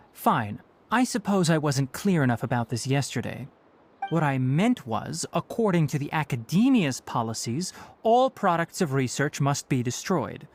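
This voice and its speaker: An actor voicing a young male forest ranger scholar who talks in a crisp, lecturing, slightly sharp-tongued way. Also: fairly high pitch. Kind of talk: video game voice acting. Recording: game audio with faint music.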